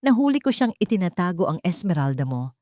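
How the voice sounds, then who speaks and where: A woman's voice: on the low side, very animated, quick pace, warm tone, dry recording, clean studio sound. A woman voicing a cool, composed wronged billionaire woman in a story, cold and cutting. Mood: calm, cutting.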